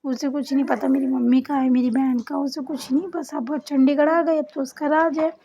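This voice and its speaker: A young woman with a sore, hoarse throat, chatting to her viewers in a voice-over for her travel vlog. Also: high-pitched, level tone, a little fast, mellow, slight background noise. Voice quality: husky, cracked voice. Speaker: vlogger. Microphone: voice-over recorded separately.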